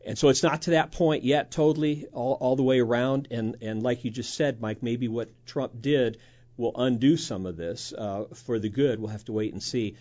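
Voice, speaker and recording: A man speaking as a guest minister in a measured way, with hesitant fillers. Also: medium pitch, steady tone, darkish tone, dull audio. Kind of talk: conversational discussion.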